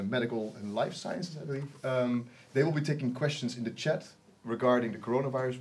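A man's voice: mid-range voice, darkish tone. Young man, webinar moderator, mid voice, plain, organized. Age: young adult. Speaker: panel moderator. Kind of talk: webinar hosting. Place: indoor panel studio.

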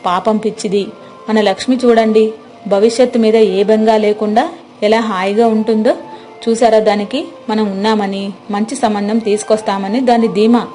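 A woman's voice: medium pitch, fairly even intonation, moderate pace, dark tone, soft background music, natural voice. A woman voicing a nagging, self-interested middle-aged village wife in a cartoon story, complaining and argumentative.

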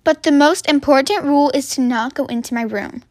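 A woman's voice: sits high, brisk, a little mellow, dry recording, studio quality, close to an everyday voice. A woman voicing a bossy, falsely sweet camp counselor with murderous schemes in a roleplay story.

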